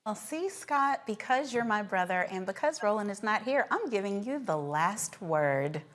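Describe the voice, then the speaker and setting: A woman's voice: medium pitch, expressive, moderate pace, background noise. A woman with an American accent hosting a news show, presenting stories and giving live commentary. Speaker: guest host of a news show.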